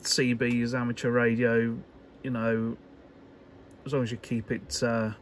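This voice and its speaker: Slow, hesitant man demonstrating at a workbench. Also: medium pitch, level tone. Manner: hesitant, unscripted, halting phrasing. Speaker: electronics hobbyist presenter. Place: indoor electronics workbench. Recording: clear background noise.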